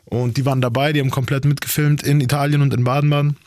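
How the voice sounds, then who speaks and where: A man's voice: medium pitch, level tone, quick pace, darkish tone, dry recording, clean studio sound. A man, a rapper raised in Germany with Italian parents, telling stories in a casual chat, rambling and full of slang like "Bro".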